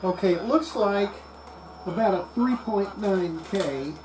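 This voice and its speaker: An electronics restorer giving an unscripted hands-on repair demonstration, thinking aloud in a halting way. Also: mid-range voice, animated. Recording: clear background noise.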